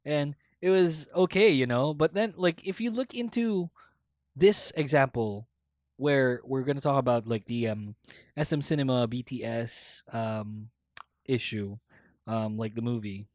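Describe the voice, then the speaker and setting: A woman's voice: extremely low pitch, measured pace, darkish tone, dry recording, studio quality. A woman in her late twenties with a Filipino accent, hosting a casual podcast chat and rambling with many fillers and restarts. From Philippines. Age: 20s. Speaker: podcast host.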